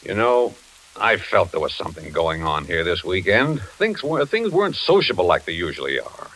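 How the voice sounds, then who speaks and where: A man's voice: low voice, very animated, moderate pace, a bit bright, full character voice, poor audio. An older American man playing an easygoing, reluctant retired investigator in 1940s radio suspense style, folksy and reassuring. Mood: easygoing, dismissive.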